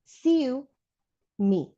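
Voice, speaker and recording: A woman voicing a resentful, curious schoolchild's letters in an expressive, candid read-aloud from a children's storybook. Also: sits high, drawn-out words, lo-fi sound, voice pushed up, heavily acted.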